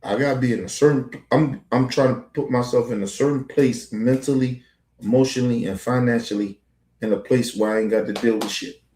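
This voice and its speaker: A man's voice: medium pitch, steady tone, brisk, dark tone, roomy sound, rough recording. Profane rambling man in his forties. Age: 40s. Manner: rambling, repetitive street slang, profane. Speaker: podcast host. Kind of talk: casual monologue to camera.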